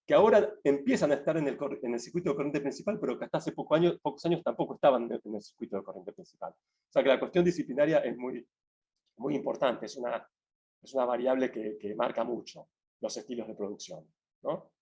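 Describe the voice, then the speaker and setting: A man giving an academic talk over a video call, measured and full of restarts. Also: medium pitch, warm tone, muffled recording. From Argentina. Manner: measured, many restarts and fillers. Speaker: guest speaker.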